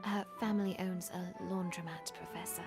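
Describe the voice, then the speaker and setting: A woman's voice: lowish voice, fairly even intonation, normal speed, close to an everyday voice. A woman voicing a proud, well-bred class representative in a visual novel, with precise, polite diction. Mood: indignant, haughty. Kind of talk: scripted visual novel voice acting. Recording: clear background music.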